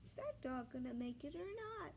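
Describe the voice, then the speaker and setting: A woman's voice: sits high, very animated, moderate pace, creaky, darkish tone, a little hiss or hum, voice pushed up, exaggerated voice. Woman doing a sulky, jealous storybook cat. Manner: sulky, grumbling. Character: young female cat, jealous, sulky, hungry newcomer.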